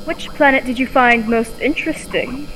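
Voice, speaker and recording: A woman's voice: high-pitched, moderate pace, fairly bright tone. A young woman playing an eager, inquisitive young soldier heroine in an old-time radio serial. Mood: curious. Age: young adult. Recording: echoey hall with background noise.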